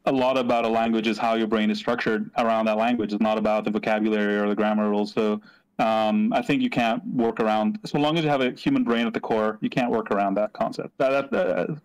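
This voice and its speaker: A man's voice: lowish voice, fairly even intonation, fast, clear, resonant tone, rough recording. Hesitant man. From Greece. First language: Greek. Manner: hesitant, many fillers and restarts. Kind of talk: casual discussion.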